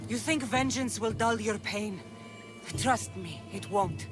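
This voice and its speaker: A woman's voice: mid-range voice, takes it slowly, natural voice. A woman playing a young, tough, defiant Spartan mercenary warrior in a video game, speaking in a firm, blunt, self-assured way. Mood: urgent, pleading. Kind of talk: dramatic video game acting. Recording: music behind the voice.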